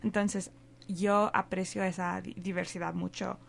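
A woman chatting in a relaxed group conversation, unhurried and lightly humorous. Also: on the low side, gravelly, slight background noise. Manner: slow, with playful put-on quotes. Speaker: panelist. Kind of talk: casual panel chat.

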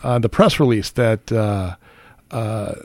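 A man hosting a casual interview, asking questions with stammering restarts and quick friendly interjections. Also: on the low side, dry recording. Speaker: radio talk show host.

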